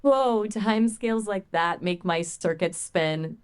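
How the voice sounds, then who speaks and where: A woman's voice as a conversational AI assistant, chatting casually in a playful, expressive, colloquial way. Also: medium pitch, measured pace, clean tone.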